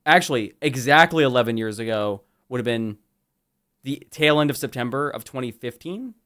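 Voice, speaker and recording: A man's voice: mid-range voice, normal speed, a bit bright, smooth, dry recording, clean studio sound. A man co-hosting a livestream, chatting casually and reading out messages with playful teasing and some swearing. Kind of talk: casual joking banter on a livestream.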